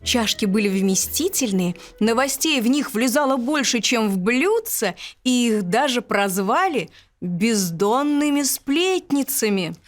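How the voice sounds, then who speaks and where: A woman narrating a children's bedtime story about household dishes in an expressive, playful way. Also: a little high, a little slow. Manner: lively, playful. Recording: studio reading over music.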